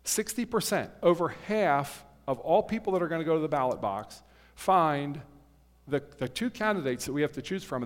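A pastor in his fifties addresses his congregation in an earnest, conversational manner, often pausing on fillers and restarting phrases. His voice sits a little high for a man. A long reverb trails each phrase, as in a large hall. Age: 50s. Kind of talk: sermon.

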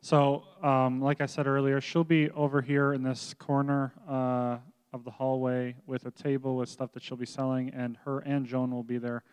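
A man's voice: medium pitch, level tone, moderate pace, hardly any pauses, a little mellow, clean tone, long reverb tail. A man serving as pastor, making casual, upbeat church announcements with frequent ums.

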